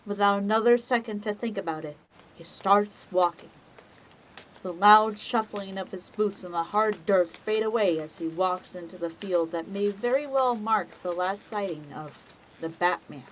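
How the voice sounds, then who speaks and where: A woman giving scripted dramatic narration as the narrator of a story, in a measured, deliberate manner. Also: medium pitch, slight background noise, low-quality recording.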